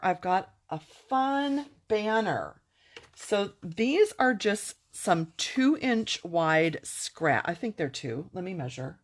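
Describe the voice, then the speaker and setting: A woman chatting upbeat through a live-streamed craft tutorial, thanking viewers and answering comments as she works. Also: fairly low pitch. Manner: upbeat and enthusiastic. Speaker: craft demonstrator.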